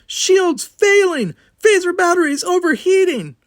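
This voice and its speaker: A man's voice: high voice, expressive, normal speed, gravelly, fairly bright tone, no echo, clean studio sound, voice pushed up, full character voice. A man voicing an alarmed young woman cadet. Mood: panicked. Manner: tense, clipped reports over the comlink. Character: young female cadet, alarmed, alone at the weapons. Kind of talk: audiobook reading.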